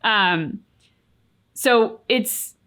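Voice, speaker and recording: A woman's voice: a little high, extremely slow, a bit bright. A woman co-hosting a reaction channel, talking casually and reflectively in an earnest, articulate, emphatic way.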